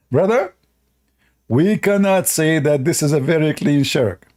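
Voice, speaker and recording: A man's voice: on the high side, animated, slow, a little mellow. A man hosting a reaction video, sarcastic and laughing often as he mocks a clip, speaking in broken English. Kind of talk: mocking reaction commentary.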